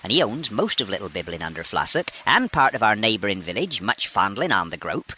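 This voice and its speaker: Man doing a loony old village woman, 93, bright and swooping, rustic grammar. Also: lowish voice, very animated, brisk, slight background noise, rough recording. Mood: teasing. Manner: rustic broken grammar, teasing, calls him "boy". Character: nosy 93-year-old village woman, eccentric. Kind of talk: comic radio sketch acting.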